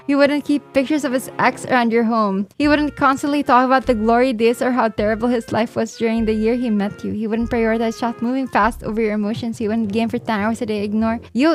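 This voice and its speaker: A woman with a Filipino accent, a livestreamer reading viewer confessions aloud and reacting bluntly. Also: fairly high pitch. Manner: blunt and exasperated in reactions, mocking the writer.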